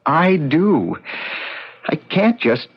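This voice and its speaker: A man playing a troubled, grieving husband in a 1970s radio drama, hesitant and earnest yet stubborn, with halting delivery. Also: on the high side, very animated, husky, heavily acted. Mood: anguished. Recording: dull old radio drama recording.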